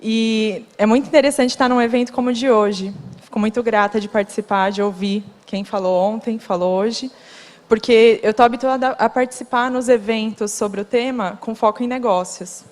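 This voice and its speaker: A woman's voice: fairly high pitch, fairly even intonation, moderate pace, a little mellow. A woman introducing herself on a conference panel in an earnest, grateful way. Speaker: shipping professional panelist. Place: conference hall. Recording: long hall reverb.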